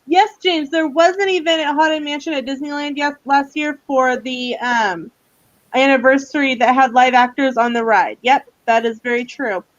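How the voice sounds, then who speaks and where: A woman's voice: high-pitched, steady tone, normal speed, no room sound. A woman with a Southern US accent chatting casually and teasingly as co-host of a livestream, in a folksy way. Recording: dull, lo-fi livestream audio.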